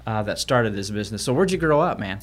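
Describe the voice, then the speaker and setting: A man's voice: fairly low pitch, expressive, quick pace. A man hosting a podcast, interviewing a guest at the guest's office in an upbeat way, with frequent stammered restarts. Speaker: podcast host. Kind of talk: casual interview.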